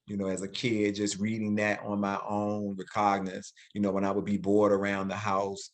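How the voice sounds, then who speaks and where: A man's voice: fairly low pitch, moderate pace. A man in his forties, an educator, speaking reflectively in an interview and often repeating words as he thinks. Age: 40s. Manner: reflective, animated when quoting, repeats words. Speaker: guest, educator. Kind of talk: interview conversation.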